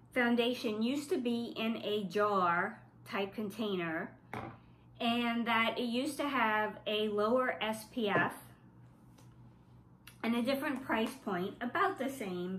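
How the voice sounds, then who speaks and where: A woman's voice: a little high, fairly bright tone, reverberant, slight background noise. Stuffy-voiced woman reviewing makeup. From South Louisiana. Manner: wry, skeptical, reading product claims aloud. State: stuffy from flu. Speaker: beauty vlogger. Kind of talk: casual product review.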